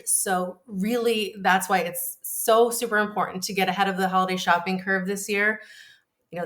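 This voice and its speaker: A woman speaks as an expert interview guest, explaining things conversationally. Her voice sits at a middle pitch and she talks in an informative way. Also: fairly bright tone. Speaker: shopping columnist, interview guest.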